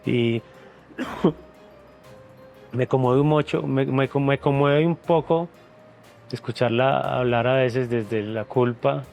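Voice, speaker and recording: A man's voice: medium pitch, fairly even intonation, slow, creaky, pauses often, a little mellow, soft background music. A man, speaking in an emotional interview in an earnest, reflective way and repeating words as he searches for them. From Venezuela, raised in Colombia. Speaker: interview guest, former reality show contestant.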